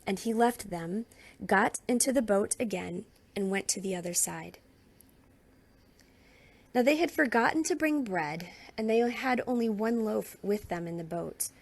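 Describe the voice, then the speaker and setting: Slightly husky woman reading scripture aloud. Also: medium pitch, measured pace. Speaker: scripture reader. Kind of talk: expressive read-aloud of Bible narrative.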